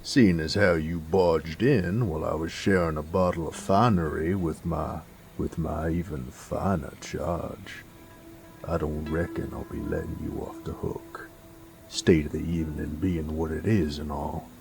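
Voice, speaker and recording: A very deep, slow Southern American drawl from a man acting as a cocky werewolf bounty hunter of the Old West. He is gruff and sardonic, tossing out taunts over faint music. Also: fairly even intonation, darkish tone, exaggerated voice. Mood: cocky, teasing. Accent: American Southern drawl, Western style. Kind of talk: Western audio roleplay acting.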